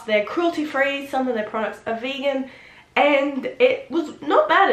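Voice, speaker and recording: A woman with a head cold, sounding nasal and sniffly, chatting excitedly through a casual makeup tutorial. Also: fairly high pitch. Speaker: beauty vlogger. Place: home room. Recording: roomy, echoey room.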